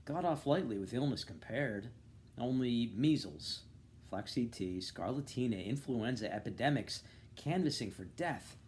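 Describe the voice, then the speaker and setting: Man reading literary fiction, animated delivery. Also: mid-range voice, measured pace, slight background noise. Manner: animated, acts out dialogue. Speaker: volunteer reader. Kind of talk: expressive literary audiobook-style reading.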